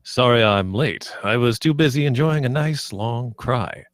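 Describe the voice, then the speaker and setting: A man's voice: medium pitch, measured pace, no echo, lightly acted. A man playing a suave, sentimental spaceship captain in his fifties, speechifying grandly at a comedy table read. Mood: grand, earnest. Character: suave fifty-something male spaceship captain, oddly sentimental.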